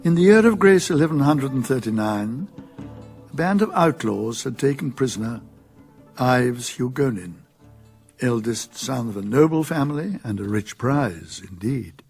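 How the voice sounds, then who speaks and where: An older English actor playing the narrator of a medieval radio drama, telling the tale in a measured, gravelly voice. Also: male voice, medium pitch, expressive, darkish tone, soft background music, full character voice. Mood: measured storytelling.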